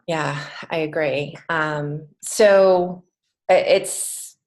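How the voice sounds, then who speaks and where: Low-pitched woman, earnest interview guest. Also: steady tone, very slow, a little mellow. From United States. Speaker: podcast guest, children's author and creative writing teacher. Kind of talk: conversational interview.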